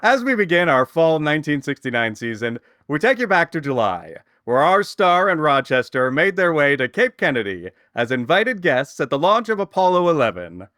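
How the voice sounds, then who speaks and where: Man playing a bright, animated radio announcer. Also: on the high side, moderate pace, hardly any pauses, no echo, clean studio sound. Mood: jovial, grand. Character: Don Wilson, jovial male radio show announcer. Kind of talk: old-time radio announcing.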